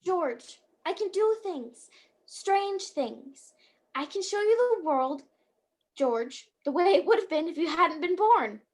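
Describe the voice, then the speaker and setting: A very high-pitched, bumbling guardian angel played by a woman in a radio play. The delivery is earnest and chatty, with a kindly eagerness to help. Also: animated, slow pace, full character voice. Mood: gently persuasive. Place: at home on a video call.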